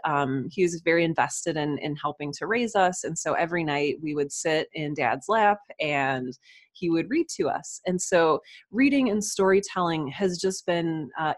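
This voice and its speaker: A woman's voice: deep voice, dry recording. A woman speaking earnestly and appreciatively as a podcast guest in a conversational interview. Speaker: podcast guest, writer and audio drama producer.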